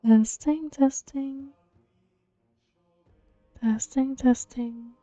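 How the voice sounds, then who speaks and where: A woman doing casual, chatty game commentary in an unhurried, hesitant way, picked up unevenly by a gated microphone. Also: high-pitched, fairly even intonation, dark tone, dry recording. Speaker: game streamer. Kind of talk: casual game playthrough commentary, reading dialogue aloud. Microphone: gated microphone, uneven pickup. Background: game music.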